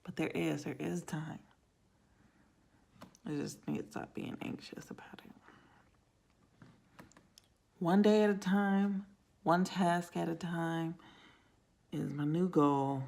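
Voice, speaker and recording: A woman vlogging in a casual ramble to camera, with a raspy, hoarse voice and frequent drops into whispers. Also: lowish voice, steady tone, slow pace, pauses often, a little mellow. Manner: rambling, self-talk with many trailing whispers. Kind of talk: casual vlog talk to camera.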